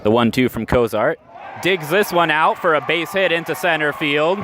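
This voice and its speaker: A man's voice: fairly high pitch, moderate pace, bright, forceful tone. A man with an American accent calling baseball play-by-play live for radio. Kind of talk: live radio sports commentary. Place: outdoor minor league ballpark. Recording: outdoor ballpark, crowd and background noise. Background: stadium crowd and ambient noise.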